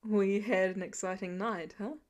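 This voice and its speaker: Weary, affectionate father for story audio, voiced by a woman: lowered pitch, dry, gently stern. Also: fairly even intonation, moderate pace, natural voice. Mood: fond. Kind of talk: storybook-style character reading.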